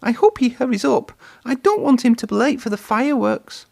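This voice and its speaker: A man voicing a timid, kindly young steam engine in a high, thin, nervous storybook voice. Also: sing-song, a little fast, vocal fry, darkish tone, exaggerated voice. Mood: kindly concern. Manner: nervous, earnest.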